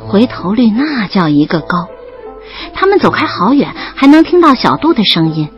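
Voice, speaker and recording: Actress as a wry, animated young woman storyteller over music. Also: mid-range voice, normal speed, muffled recording, close to an everyday voice. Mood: wry narration. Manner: expressive, playfully wry. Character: young woman student narrating her love story, wry, playful. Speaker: narrator and lead in an audio drama. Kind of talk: audio drama narration and dialogue.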